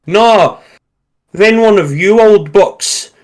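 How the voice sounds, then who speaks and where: Man putting on a high, cocky Cockney trooper. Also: takes it slowly, a little mellow. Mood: smug. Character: sly, cocky Cockney cavalry trooper from the First World War. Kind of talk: acted quotes within a story reading.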